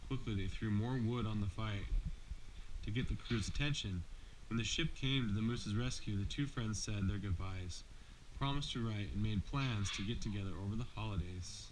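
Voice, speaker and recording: Man, lowish voice, father reading bedtime story to child, noisy home recording. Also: moderate pace. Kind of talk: bedtime storybook read-aloud.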